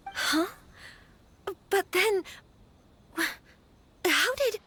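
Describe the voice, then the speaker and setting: A woman voicing a gentle, troubled young noble lady in a video game, speaking politely and haltingly. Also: very high voice, animated, exaggerated voice. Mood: surprised, flustered. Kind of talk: scripted video game dialogue acting.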